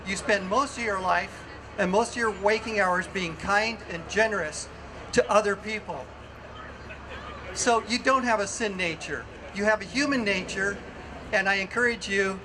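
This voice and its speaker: An older man speaks earnestly at a higher pitch than most men. He talks in measured phrases and often repeats himself. Traffic noise from an outdoor street setting is clearly heard behind him. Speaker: festival speaker. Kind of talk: outdoor public speech.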